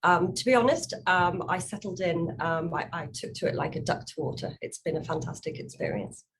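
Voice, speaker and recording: A woman from the United Kingdom speaks in a slightly low voice, relaxed with a few fillers. Ambient noise is clearly heard behind her. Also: fairly quick, low-quality recording. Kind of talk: interview answers.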